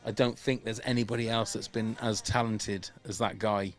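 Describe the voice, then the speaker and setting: A man's voice: lowish voice, level tone, normal speed, faint music behind. A man hosting a podcast over a video call, chatty and self-deprecating, often laughing at himself. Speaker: podcast host. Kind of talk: casual podcast chat.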